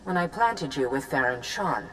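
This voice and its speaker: A stern female chancellor from a video game, commands with cold condescension. Her lowish voice moves through wide, pointed inflections. It carries a long hall reverb. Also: expressive, normal speed, a bit bright, a little hiss or hum.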